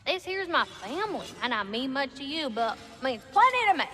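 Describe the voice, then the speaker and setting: A woman's voice: high voice, very animated, moderate pace, bright, forceful tone, faint music behind, exaggerated voice. An actress playing a brash, sassy female dwarf blacksmith in a video game, teasing in folksy slang. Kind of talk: cinematic video game acting.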